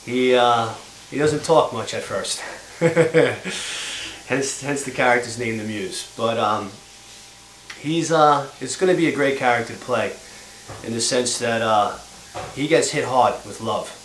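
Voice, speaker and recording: A man from Long Island with an American accent, an actor talking about his role in a casual, halting way. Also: medium pitch, a bit bright. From Long Island, New York, USA. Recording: roomy with faint noise.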